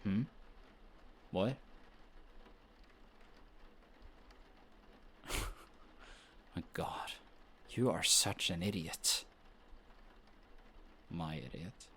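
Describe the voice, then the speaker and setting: A man's voice: sits low, a bit bright, slight background noise, heavily acted. A man playing a flustered, defensive young best friend who is secretly smitten, in an intimate romantic audio roleplay. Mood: fond teasing. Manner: defensive, bickering, halting when shy.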